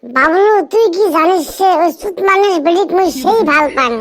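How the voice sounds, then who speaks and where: Man playing a boastful lovestruck village youth, cartoon, squeaky pitch-raised voice, northern Bengali accent, slightly noisy. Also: lively intonation, moderate pace, a bit bright. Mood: boastful, jealous.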